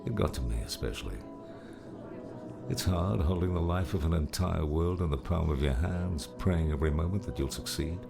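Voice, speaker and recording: Man acting a weary, very gravelly older mentor. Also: sits low, moderate pace. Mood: weary, consoling. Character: weary, kindly older male mentor, veteran guardian. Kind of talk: audiobook drama acting. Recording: music underneath.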